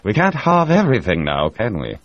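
Brief: man playing an English gent, clipped and calming, dull dry sound. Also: lowish voice, fairly quick, no echo, voice pushed up. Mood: placating. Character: stiff-upper-lip English gentleman.

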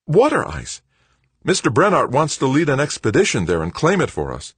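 Excitable teenage boy, played by a man, earnest, raised pitch, very animated, storybook character, dry recording. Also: quick pace, husky, no echo, exaggerated voice. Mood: eager. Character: eager eighteen-year-old son, earnest, excitable young man.

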